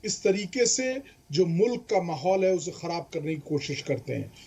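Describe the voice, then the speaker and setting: A man's voice: high voice, very animated, moderate pace, warm tone. A man, explaining legal points emphatically as a panelist and mixing in English terms. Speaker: legal expert panelist on a debate show.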